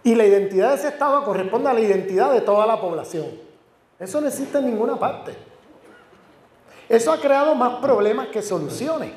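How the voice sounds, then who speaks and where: A man's voice: extremely high pitch, expressive, brisk, a little mellow. A man, a university professor, giving an academic lecture with emphasis, repeating and listing words for stress. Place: lecture hall. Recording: echoey lecture hall.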